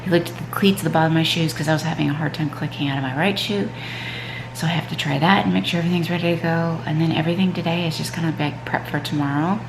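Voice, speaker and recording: A woman's voice: low voice, steady tone, fast talker, reverberant, noisy background. A stuffed-up woman vlogging casually at home in the morning, rambling with lots of "um" and "like". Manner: rambling, frequent "um" and "like". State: stuffed-up nose. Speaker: vlogger, mom and triathlete. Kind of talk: casual chatty vlog. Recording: clear background music under voice.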